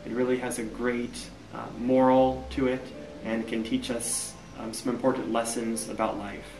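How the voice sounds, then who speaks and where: A man's voice: mid-range voice, level tone, moderate pace, music in the background. American man in his thirties, roomy distant sound. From United States, Kansas. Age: 30s. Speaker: university teacher, interviewee. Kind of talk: casual interview answers.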